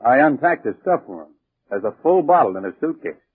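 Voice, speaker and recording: A man's voice: medium pitch, sing-song, brisk, husky, no echo. An actor playing a worried, hesitant, cautious man at a mining camp, in 1940s radio drama style. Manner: hesitant, concerned. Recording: vintage radio, narrow tinny band.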